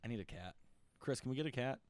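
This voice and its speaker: A man's voice: medium pitch, animated, fast, slight background noise. A young man hosting a podcast in a laid-back casual chat, swearing often and saying "like" a lot. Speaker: podcast host.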